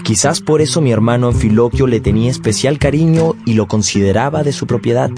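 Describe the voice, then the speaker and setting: A man acting as a grown son who narrates his family's story in measured, literary radio style. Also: mid-range voice, lightly acted. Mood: storytelling. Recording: music under narration.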